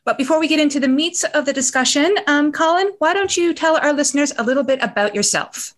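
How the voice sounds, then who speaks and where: A woman's voice: high voice, quick pace. A woman hosting a podcast, introducing her guest with plenty of "um"s. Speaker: podcast co-host.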